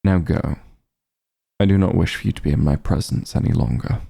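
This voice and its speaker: A man's voice: a bass voice, a little fast, gravelly, warm tone, slightly rough, no echo, full character voice. A man playing an ancient, aloof vampire patriarch in an ASMR fantasy roleplay, commanding yet honorable, speaking in formal, haughty, archaic phrasing. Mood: cold, weary.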